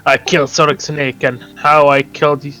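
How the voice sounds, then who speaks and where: A man voicing a boastful, cruel villain from an amateur fan story, gloating in a deliberate, repetitive way. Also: fairly high pitch, level tone, sharp tone.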